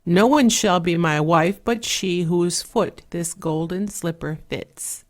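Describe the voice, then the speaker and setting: A woman's voice: lowish voice, takes it slowly. A woman voicing a resolute young fairy-tale prince who speaks in formal, old-fashioned declarations.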